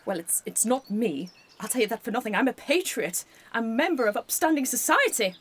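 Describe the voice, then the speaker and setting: A woman's voice: a little high, lively intonation, fairly quick, a bit bright, breathy edge. A woman playing a prim, self-important village fete organiser in an amateur radio play, with a haughty manner. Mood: indignant. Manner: haughty, pompous.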